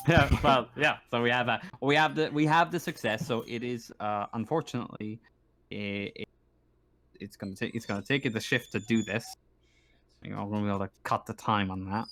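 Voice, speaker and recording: Halting man running a tabletop game. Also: mid-range voice, steady tone, fairly bright tone. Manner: halting, repeats phrases while working out descriptions. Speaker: game master. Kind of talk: improvised tabletop game narration.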